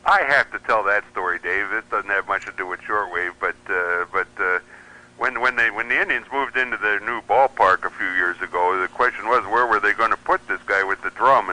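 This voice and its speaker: A man's voice: on the low side, fairly quick, sharp tone, poor audio. A middle-aged American man telling casual, rambling anecdotes as a guest on a hobby radio show.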